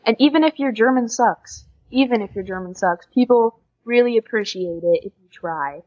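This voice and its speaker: A woman's voice: on the high side, animated, moderate pace, a little mellow. Young American woman, dull lo-fi sound. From United States. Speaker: podcast guest, exchange student. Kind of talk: casual conversational interview. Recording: dull lo-fi podcast recording.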